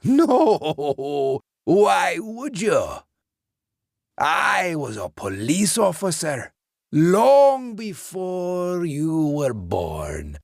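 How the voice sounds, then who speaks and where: A man's voice: on the high side, wildly swooping pitch, drawn-out words, vocal fry, no echo, studio quality, pitched above the performer's own voice, full character voice. A man voicing the cruel ghost of an old-time policeman who taunts and beats his victim. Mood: gloating. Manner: taunting, relishing violence.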